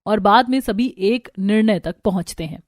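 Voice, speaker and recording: A woman narrating a family cartoon story in a lively storytelling way. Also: mid-range voice, moderate pace, no echo, clean studio sound.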